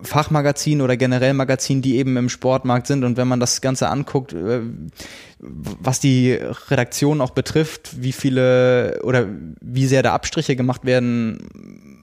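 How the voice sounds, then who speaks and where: A man with a mid-range voice chats casually on a podcast. He is agreeable and reflective, and he often answers with quick affirmations. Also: mid-range voice, darkish tone. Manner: agreeable, quick back-channel replies. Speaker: podcast co-host and journalist.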